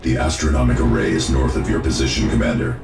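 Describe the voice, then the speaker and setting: An actor playing a grave male tech adviser in a sci-fi game, with a very deep gravelly voice and formal, deliberate delivery. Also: exaggerated voice. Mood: grave, factual. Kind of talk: video game character acting. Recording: roomy echo with music behind.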